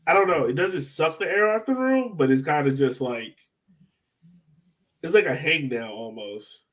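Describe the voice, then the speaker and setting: Animated, higher-pitched man hosting a podcast. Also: normal speed. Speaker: podcast host. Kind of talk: casual sports chat.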